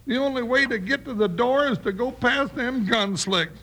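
An actor playing a grumbling, folksy deputy sidekick in an old radio western, with an American accent and rustic slang. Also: male voice, very high-pitched, normal speed, bright, forceful tone, heavily acted. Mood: grumbling, alarmed. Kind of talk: 1950s western radio acting. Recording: faintly noisy old radio recording.

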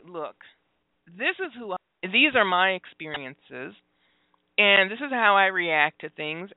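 A woman in her forties hosting a phone-in radio talk show, talking openly about her own life with many pauses, fillers and laughs. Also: mid-range voice, no echo. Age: 40s. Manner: open and confessional, halting with fillers, laughs often. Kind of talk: candid phone-in radio chat. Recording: dry, tinny phone-like sound.

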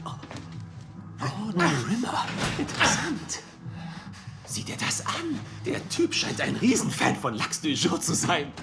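An actor playing an eccentric, bossy amateur detective, theatrical and insistent, in a dubbed comedy-drama series. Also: male voice, high-pitched, huge pitch swings, slow, gravelly, full character voice. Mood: curious, excitable. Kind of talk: German-dubbed TV series dialogue. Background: music.

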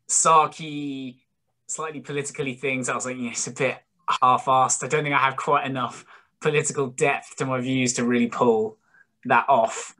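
A man's voice: mid-range voice, pushed and bright. British man, early 20s, musician interviewee. Informal, self-mocking, jokey and meandering. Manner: self-deprecating, rambling, jokey. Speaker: guest, singer-songwriter musician. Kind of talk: casual chat interview.

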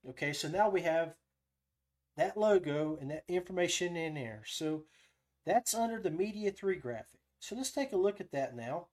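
Higher-pitched man giving a casual tutorial. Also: lively intonation, moderate pace, darkish tone. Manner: thinks aloud while demonstrating step by step. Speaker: podcast host giving a software tutorial. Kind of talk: casual live screen-share tutorial.

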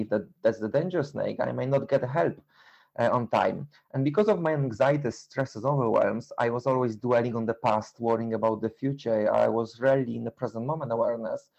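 Gravelly middle-aged Polish-accented man. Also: mid-range voice, darkish tone, poor audio. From Poland. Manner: halting, repeats words, non-native phrasing. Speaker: interview guest, endurance runner and coach. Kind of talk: reflective personal interview.

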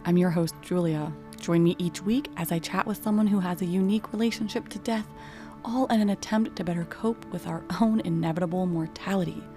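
Calm low-voiced woman hosting a podcast. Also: brisk, faint music behind. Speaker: podcast host. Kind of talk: interview podcast.

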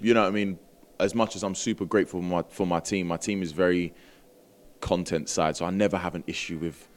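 A man's voice: deep voice, steady tone, fast. A man from Britain, bilingual in Greek and English, talking casually and reflectively as a musician being interviewed, with plenty of slang.

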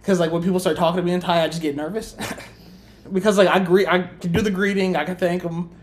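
A woman's voice: on the low side, fairly even intonation, fast, darkish tone. A young woman co-hosting a podcast, telling travel stories in casual chat and laughing often as she talks. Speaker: podcast co-host.

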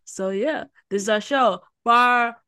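A woman's voice: on the high side, measured pace, bright, forceful tone, clean tone, dry recording, studio quality. Playful Southern woman hosting a podcast. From Houston, Texas. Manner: playful, teasing, hypes up her co-host. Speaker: podcast co-host. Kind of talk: casual podcast chat.

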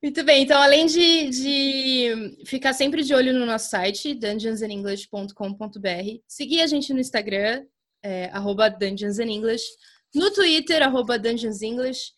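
Podcast host: Brazilian woman, high voice, informal and playful interviewing style. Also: normal speed, fairly bright tone. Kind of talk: casual chat interview.

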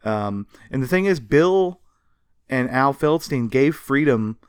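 A man's voice: mid-range voice, animated, takes it slowly, clean tone, dry recording. A man co-hosting a podcast, explaining history in a casual, conversational way with informal quoted asides. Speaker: podcast co-host.

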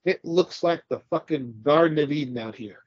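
A man's voice: a little high, normal speed, slightly rough, no echo, lo-fi sound. A man from California co-hosting a podcast, congested, rambling and swearing casually as he chats. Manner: profane, rambling, laughs often. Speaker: podcast co-host. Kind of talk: casual chat.

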